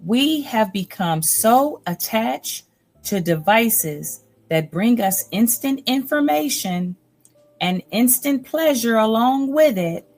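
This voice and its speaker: Slow-paced woman reading aloud over music. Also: mid-range voice, darkish tone. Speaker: storytime host reading a book aloud. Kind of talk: audiobook-like reading of nonfiction with earnest commentary. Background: music bed.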